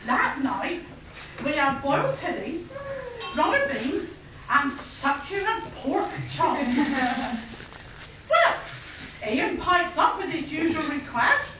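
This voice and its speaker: A woman's voice: high-pitched, big pitch swings, slow, pauses often, noisy background. A woman, reading a rhyming wedding speech from a sheet and chuckling often as she goes. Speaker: guest giving a wedding speech. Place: indoor party room at a wedding celebration. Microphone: camcorder from across the room. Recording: distant echoey dull camcorder audio with room chatter.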